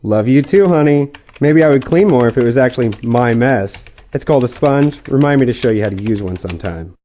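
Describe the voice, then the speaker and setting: A sarcastic boyfriend in an audio play, acted by a man, trading domestic jabs. The voice is soft-edged and warm in tone, but the delivery is dryly mocking. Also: mid-range voice, expressive, a little fast, lightly acted. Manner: sarcastic, dry. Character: sarcastic boyfriend bickering with his girlfriend online.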